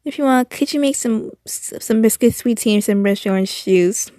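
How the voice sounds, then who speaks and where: A woman voicing a gentle, affectionate fatherly man who asks politely. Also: fairly high pitch, normal speed, no room sound, clean studio sound, lightly acted.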